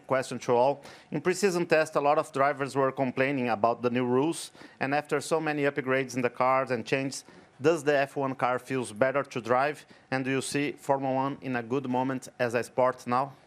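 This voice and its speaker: A man's voice: mid-range voice, fairly even intonation, fairly quick. A man, a journalist, asking a direct question to all drivers at a press conference.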